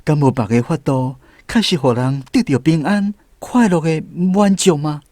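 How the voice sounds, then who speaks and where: A man's voice: on the high side, moderate pace, darkish tone, no echo, clean studio sound, natural voice. A man acting in a Taiwanese radio drama as an earnest, troubled lost soul who questions and ponders as he searches for peace. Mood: doubtful, searching. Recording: music bed under the voice.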